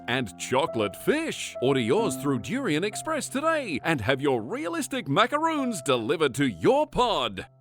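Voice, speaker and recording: A man voicing an upbeat, salesy radio commercial announcer in an audio drama. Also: a little high. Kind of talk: scripted radio advert. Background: music.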